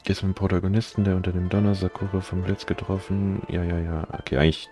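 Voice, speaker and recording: A man's voice: deep voice, flat delivery, quick pace, warm tone, soft background music. A man livestreaming a game, reading its text aloud in an offhand manner. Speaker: game streamer.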